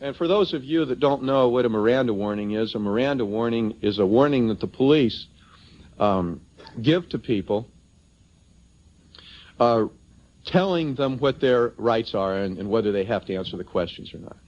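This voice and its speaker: Dull lo-fi tape, male seminar speaker, lively intonation, emphatic, persuasive, rhetorical questioning. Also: medium pitch, normal speed. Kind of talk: persuasive public seminar talk, addressing the audience.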